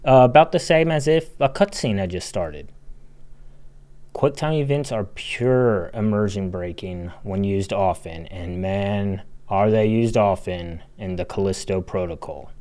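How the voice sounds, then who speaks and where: A man narrates an opinionated video essay in a fairly low voice. His delivery is blunt and casual, like a critic speaking his mind. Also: a little mellow, clear, resonant tone.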